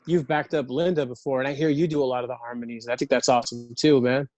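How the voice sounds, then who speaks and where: A man's voice: medium pitch, steady tone, quick pace, darkish tone, no echo. A man hosting a casual podcast, friendly and enthusiastic as he chats with his guests. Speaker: podcast host. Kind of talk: casual interview chat.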